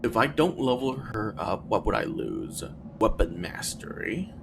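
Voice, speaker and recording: A man's voice: lowish voice, huge pitch swings, unhurried, gravelly, noisy background. A man giving casual gameplay commentary, thinking aloud in a hesitant, musing way and often trailing off between thoughts. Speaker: video game streamer.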